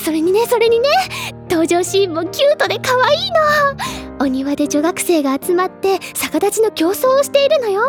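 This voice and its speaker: A bubbly, stubborn schoolgirl bookworm in an anime-style audio drama. The voice is very high and swoops with lively, theatrical enthusiasm as she lectures and gushes. Music plays behind her. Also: moderate pace. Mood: gushing delight. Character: bubbly, stubborn, literature-obsessed high school girl, club senior.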